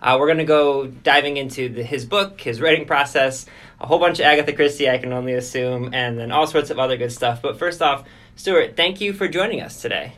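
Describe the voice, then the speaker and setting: A man's voice: medium pitch, fairly bright tone. A man hosting a podcast, casual and enthusiastic, introducing a guest and asking questions. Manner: upbeat, enthusiastic, quick asides. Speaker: podcast host.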